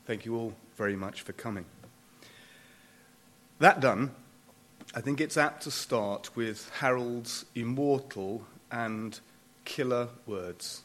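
A man giving a prepared memorial speech, formal and deliberate, with emphatic pauses and dry wit. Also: fairly low pitch, fairly bright tone. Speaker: closing speaker at a memorial event.

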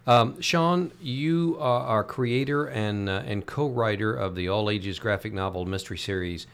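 A man hosting an interview podcast, introducing a guest in a halting way, with many pauses. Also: on the low side, smooth. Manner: halting, many "uh" fillers and restarts. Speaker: podcast host.

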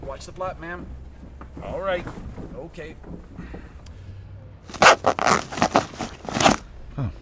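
An actor in a satirical audio drama playing a fast-talking, evasive middle-aged TV writer who stammers and repeats himself as he cajoles. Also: a little high, background noise, lightly acted. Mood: hurried, glad-handing.

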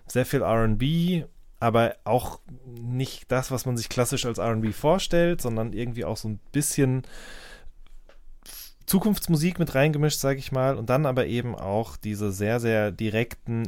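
Male interviewer, lowish voice, dry close sound, hesitant rambling questions. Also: darkish tone, no room sound. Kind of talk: conversational interview.

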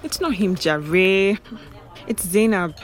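A woman acting a sharp-tongued, easily embarrassed young Nigerian woman in natural audio drama dialogue. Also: medium pitch, slow pace, soft background music, natural voice. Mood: teasing.

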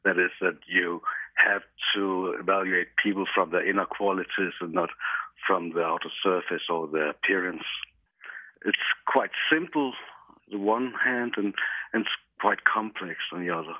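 A man's voice: low-pitched, normal speed, dry recording. A man with a Danish accent speaking English as a second language, explaining things as an expert interview guest. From Denmark. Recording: narrow, muffled telephone line.

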